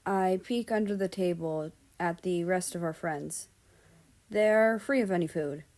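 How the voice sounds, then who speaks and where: A woman's voice: lowish voice, a little slow, darkish tone. A woman reading a piece of fan fiction aloud as scripted story narration.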